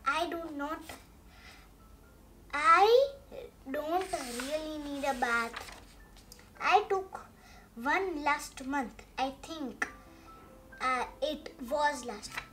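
Little girl playing a high, stubborn pigeon. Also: pushed and bright, soft background music, full character voice. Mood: defensive. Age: child, six years old. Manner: exclamatory, protesting, halting. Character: stubborn, dramatic, excitable cartoon pigeon refusing a bath. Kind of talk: picture book read aloud.

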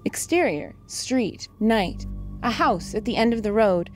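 A woman's voice: on the high side, expressive, measured pace, soft background music. A woman reading scripted narration and stage directions for an audio drama.

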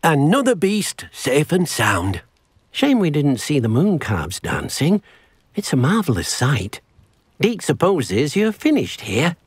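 Gentle, husky old house-elf. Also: male voice, on the high side, wildly swooping pitch, slow pace, no room sound, heavily acted. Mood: helpful, polite. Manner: speaks of himself in the third person. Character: gentle, earnest elderly house-elf, small male servant creature. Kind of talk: scripted video game character acting.

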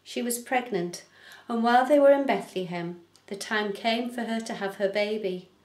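A middle-aged woman reads a Bible passage as if leading worship, in a mid-pitched voice. Her delivery is measured and carefully enunciated. The sound is roomy and echoing, picked up a short distance away in a home living room. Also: darkish tone. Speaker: minister reading scripture. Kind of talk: scripture reading for a church service. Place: small living room, indoors.

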